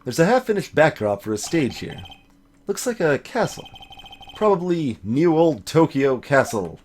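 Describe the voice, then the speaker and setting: A man's voice: fairly high pitch, very animated, measured pace, darkish tone, slight background noise. A man voicing an earnest young male defense lawyer from a video game, in a dry, skeptical manner. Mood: musing. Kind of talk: voiced visual novel dialogue.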